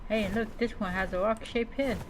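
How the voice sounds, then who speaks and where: A woman's voice: medium pitch, steady tone, fairly quick, noisy background. A woman doing live in-character gameplay commentary, talking playfully to creatures with mock-heroic taunts. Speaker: game streamer playing a roleplay survival game.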